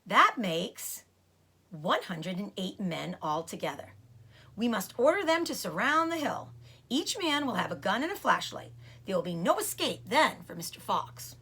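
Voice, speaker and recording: A woman's voice: medium pitch, sing-song, a little slow, pushed and bright, breathy edge, exaggerated voice. A woman voicing a scheming, boastful male farmer giving orders in a storybook.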